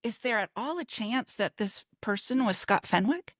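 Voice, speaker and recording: A woman's voice: fairly high pitch, animated, moderate pace, no echo, clean studio sound. A middle-aged American woman co-hosting a podcast, telling stories in casual conversation. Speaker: podcast co-host. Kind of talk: casual chat, storytelling.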